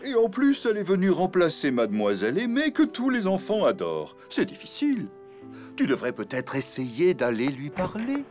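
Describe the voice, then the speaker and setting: A man voicing a kind, patient elephant father in a French cartoon, with a gentle, coaxing manner. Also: high-pitched, wildly swooping pitch, moderate pace, faint music behind. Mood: patient, encouraging.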